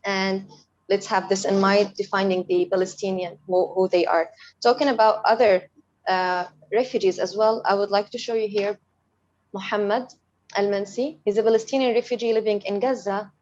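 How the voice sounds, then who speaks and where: A young woman from Syria speaks as an activist guest in a webinar, presenting prepared points. Her voice sits at a medium pitch and comes through a slightly muffled, lo-fi online connection. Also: steady tone, normal speed, darkish tone. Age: young adult. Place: online video call. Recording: dull lo-fi online call.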